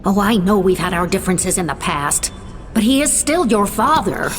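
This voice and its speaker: A woman's voice: mid-range voice, sing-song, normal speed, close to an everyday voice. A woman voicing a pleading worried letter writer. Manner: earnest, pleading. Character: worried woman writing to an estranged son. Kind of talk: video game voice acting. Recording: long echoing reverb.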